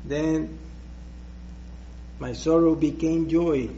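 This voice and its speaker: Slow, hesitant Puerto Rican preacher. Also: male voice, fairly high pitch, steady tone, dark tone, muffled recording. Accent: Puerto Rican Spanish. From Puerto Rico. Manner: slow, hesitant, with many restarts. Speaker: guest preacher. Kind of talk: personal testimony in church.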